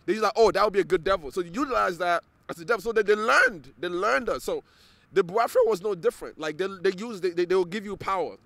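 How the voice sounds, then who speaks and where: A man's voice: high voice, expressive, fast, creaky, a bit bright, no room sound. A man from Nigeria, an interview guest, talking earnestly and restarting words as he searches for them. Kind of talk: casual interview conversation.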